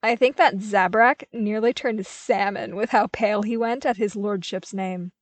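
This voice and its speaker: A woman's voice: mid-range voice, moderate pace, no echo, close to an everyday voice. A woman voicing a cheerful, chatty young male trooper in an audiobook, jovial and casual. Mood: amused. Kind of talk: audiobook character acting.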